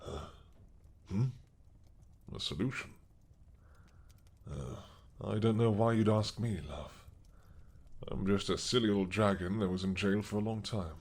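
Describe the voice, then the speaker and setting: A man voicing an old, once-mad dragon king who is possessive and doting, speaking slowly with a tender, teasing manner in an audio roleplay. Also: on the low side, darkish tone.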